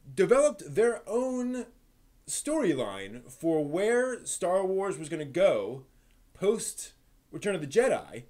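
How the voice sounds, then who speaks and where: Casting: male live stream host, slightly high voice, conversational and enthusiastic storyteller. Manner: animated storyteller, emphatic, conversational. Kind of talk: casual enthusiastic monologue to stream viewers.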